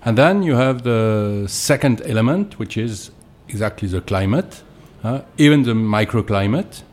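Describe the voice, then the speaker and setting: A man with a French accent, a winemaker being interviewed, explaining things in hesitant, deliberate non-native English. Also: fairly low pitch, animated. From Alsace, France.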